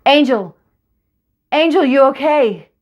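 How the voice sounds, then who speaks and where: A woman voicing a middle-aged Kurdish father, a sniper, speaking softly and gravely to his child. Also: on the low side, fairly even intonation, unhurried, muffled recording. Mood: urgent. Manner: soft, grave, teaching.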